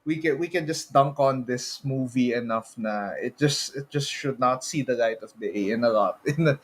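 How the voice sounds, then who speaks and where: A man's voice: mid-range voice, moderate pace. A man with a Filipino accent hosting a casual podcast, mixing Tagalog particles into his English. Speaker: podcast host.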